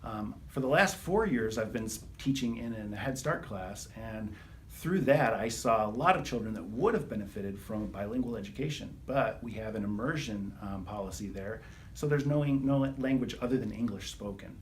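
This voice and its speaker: Steady middle-aged man, a teacher. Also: on the low side, normal speed, slight background noise. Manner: steady, explanatory, with ums. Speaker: early childhood teacher. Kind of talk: casual spoken presentation.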